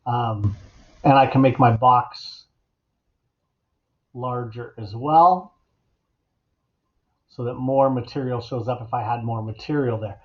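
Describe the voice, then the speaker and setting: A middle-aged man giving a casual, instructional software tutorial as an educator at his computer. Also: medium pitch. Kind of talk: casual instructional screencast narration. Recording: dull, lo-fi computer mic sound.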